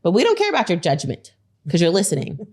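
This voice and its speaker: Woman host, upbeat and animated, lively pitch. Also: fast talker. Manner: bubbly, excited, laughs often. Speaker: podcast host. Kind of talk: casual podcast chat.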